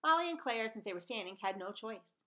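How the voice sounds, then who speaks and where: A woman's voice: a little high, expressive, a little fast, a bit bright. Woman reading a story aloud. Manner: acts out dialogue in changed voices. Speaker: reader of a children's novel. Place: small room at home, indoors. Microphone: webcam or laptop microphone at desk distance.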